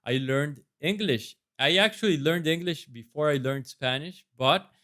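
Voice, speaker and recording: A man's voice: on the high side, a little slow, sharp tone. Earnest Costa Rican-accented man. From Costa Rica. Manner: earnest, self-assured. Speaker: residency applicant. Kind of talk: mock interview answers to camera.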